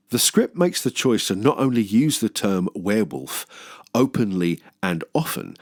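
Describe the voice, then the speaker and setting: Man, low-pitched, very varied intonation, dry studio sound, wry film review, casual joking asides. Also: dry recording. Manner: wry, joking, sweary, acts out imagined quotes. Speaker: host of a film review show. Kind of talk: film commentary, mixing scripted analysis with casual asides.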